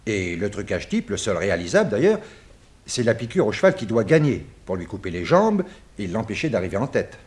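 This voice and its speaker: An actor playing an earnest, worried police chief in a French radio drama, speaking in a deliberate, slightly hesitant way. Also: male voice, fairly low pitch, very animated, darkish tone. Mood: concerned, puzzled. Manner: deliberate, hesitant, matter-of-fact.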